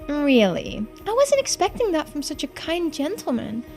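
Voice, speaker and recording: A woman's voice: high-pitched, wildly swooping pitch, moderate pace, darkish tone, pitched above the performer's own voice, exaggerated voice. A woman voicing a scheming female video game villain who feigns sweet innocence, in a taunting, coy manner. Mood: coy, probing. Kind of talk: video game character dialogue read-aloud. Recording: music behind the voice.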